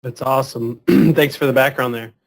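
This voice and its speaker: A man hosting a webinar, introducing a product in a halting way with many fillers and repeated words. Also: mid-range voice, little pitch movement. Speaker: webinar host. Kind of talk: semi-scripted product introduction.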